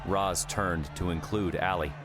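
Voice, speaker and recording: A man with a low voice reads a fiction audiobook. His narration is scripted and dramatic, laid over clearly audible music. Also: fairly even intonation, a little slow. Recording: background music under the voice.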